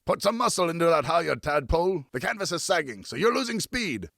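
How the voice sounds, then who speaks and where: A bossy riverman playing ship's commander in a storybook tale, voiced by a male narrator. He barks nautical orders in a raised pitch, encouraging his young crew, recorded dry and close. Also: sing-song, fairly quick, creaky, a bit bright, dry recording, heavily acted. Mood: commanding.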